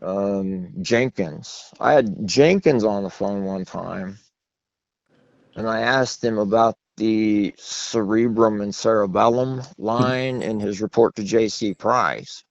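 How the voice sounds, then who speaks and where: Male interview guest, low voice, long-winded storyteller with a self-deprecating streak, dull dry phone audio. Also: slow pace, vocal fry, dry recording. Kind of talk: rambling phone interview conversation. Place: phone call. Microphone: telephone. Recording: muffled low-fidelity phone line.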